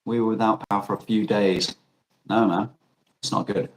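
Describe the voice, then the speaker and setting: A man's voice: lowish voice, level tone, normal speed, slight background noise, poor audio. A man with an Australian accent, chatting casually and telling rambling stories full of restarts and self-corrections. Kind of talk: casual chat.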